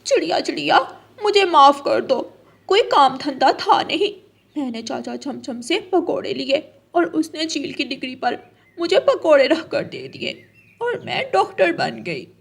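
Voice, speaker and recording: A woman voicing a sly, cowardly female crow who pleads excitably in a cartoon. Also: high-pitched, a little slow, vocal fry, exaggerated voice. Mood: pleading.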